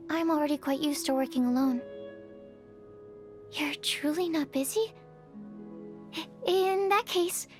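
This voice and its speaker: A bookish young female alchemist for a video game. Her voice is high and girlish, with a shy, earnest, awkward way of choosing careful, formal words. Music plays behind her. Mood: timid. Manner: hesitant, precise, formal wording. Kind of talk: video game character voice lines.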